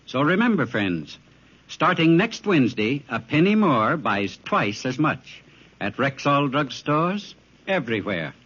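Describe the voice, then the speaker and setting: A man's voice: medium pitch, big pitch swings, unhurried, background noise. A man announcing a scripted 1940s radio advertisement with emphatic, formal sales delivery. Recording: muffled vintage radio recording.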